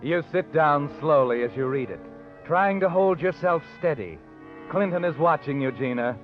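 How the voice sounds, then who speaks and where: A man's voice: a little high, animated, normal speed, lightly acted. An actor playing a knowing, taunting narrator in 1940s radio suspense style, needling a guilty woman. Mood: taunting, ominous. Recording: dull old radio recording, music behind.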